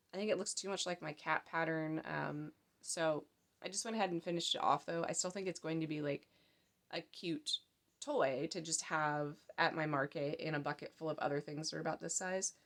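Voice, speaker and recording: Hoarse woman chatting about her crafts. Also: fairly low pitch. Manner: chatty, rambling, lots of fillers. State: hoarse from a cold. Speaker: crochet pattern designer and vlogger. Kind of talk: casual show-and-tell chat. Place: home craft room in daylight.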